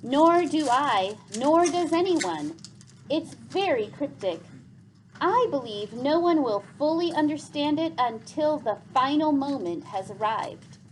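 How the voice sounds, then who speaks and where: A woman voicing a wise, gentle old man in a storybook, speaking in a grave, formal and measured way. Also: high voice, lively intonation, a little hiss or hum, pitched above the performer's own voice, rough recording. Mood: solemn, earnest. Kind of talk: storybook read aloud.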